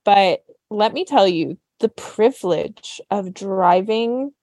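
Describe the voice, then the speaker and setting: A woman co-hosting a podcast, telling stories about her week in an animated, chatty way. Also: medium pitch, slow, no echo, clean studio sound. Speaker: podcast co-host. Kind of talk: casual chat.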